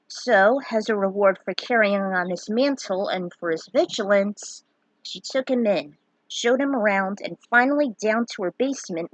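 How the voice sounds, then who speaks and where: A woman's voice: medium pitch, no room sound, poor audio. A woman reading a fan fiction story aloud, dramatic in her telling and given to opinionated asides. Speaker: narrator.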